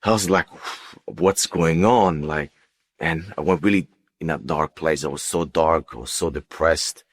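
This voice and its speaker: Very deep-voiced man giving testimony. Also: measured pace. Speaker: guest giving a personal testimony. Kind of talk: unscripted personal testimony.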